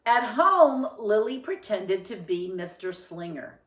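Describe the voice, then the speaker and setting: A woman reading a children's story aloud with expressive, deliberate and emphatic phrasing. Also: medium pitch, far from the mic. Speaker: storybook reader. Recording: roomy, echoing sound.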